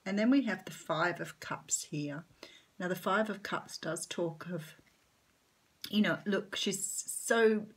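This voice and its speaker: Chatty Australian woman, husky morning voice. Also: on the low side, takes it slowly. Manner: chatty, warm-hearted, rambling with many ums. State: slightly croaky morning voice. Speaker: tarot reader. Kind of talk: casual tarot reading vlog.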